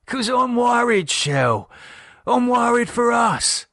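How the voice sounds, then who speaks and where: A man voicing an anxious working-class husband, speaking in nervous, halting, pleading bursts. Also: very high voice, big pitch swings, bright, forceful tone, dry recording, studio quality, pitched above the performer's own voice, exaggerated voice. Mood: worried.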